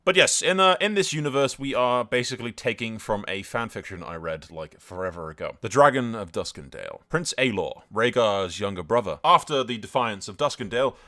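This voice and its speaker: Animated, bright-voiced man commentating on a game. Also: on the low side, brisk, hardly any pauses. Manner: excitable, sweary, chatty. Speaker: gaming video host. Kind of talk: casual gameplay commentary with roleplay storytelling.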